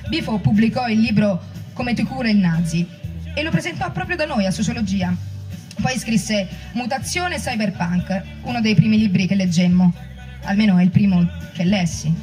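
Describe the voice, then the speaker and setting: An actress playing a reflective former political activist in a dramatic reading of oral history. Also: female voice, medium pitch, expressive, moderate pace, dark tone, lightly acted. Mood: reflective, recollecting. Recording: distant microphone, music underneath.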